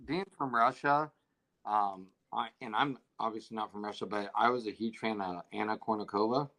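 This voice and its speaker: A man co-hosting a podcast interview, casual and joking, with halting restarts. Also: on the low side, sharp tone, low-quality recording. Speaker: podcast co-host.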